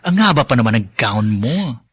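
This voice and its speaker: An actor playing a bossy, fussy bridal helper and close friend in a radio drama, nagging playfully in a husky voice. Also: male voice, medium pitch, big pitch swings, moderate pace. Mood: fussy, teasing.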